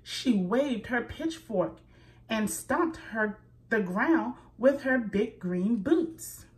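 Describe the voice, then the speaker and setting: A woman reading a children's picture book aloud in a slow, expressive storytelling manner. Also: on the high side, far from the mic, poor audio.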